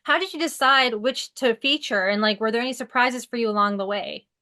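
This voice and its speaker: A woman's voice: a little high, brisk, bright, forceful tone. A woman interviewer asking enthusiastic, gushing questions.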